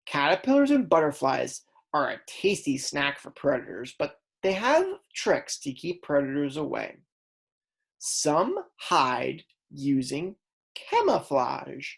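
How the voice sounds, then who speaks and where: A woman's voice: sits low, very animated, slow pace, creaky. A woman kindergarten teacher reading aloud a lesson for young children, explaining words simply and prompting her pupils.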